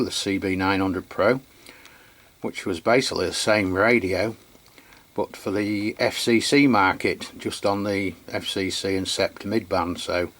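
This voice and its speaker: An Englishman giving an unscripted product review and demonstration, chatty and matter-of-fact, with a halting delivery. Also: sits low, fairly bright tone. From England. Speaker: radio reviewer.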